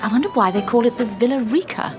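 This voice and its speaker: An actress playing an affectionate, poised upper-class English wife in a radio drama, genteel and playful. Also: female voice, fairly high pitch, animated, quick pace, over music, close to an everyday voice. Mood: sociable. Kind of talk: British radio drama acting.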